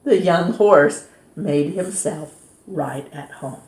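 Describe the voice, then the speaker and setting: A woman reads a children's story aloud as a storytime host, in an expressive, measured way that dramatizes key words. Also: deep voice. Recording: roomy, echoing sound.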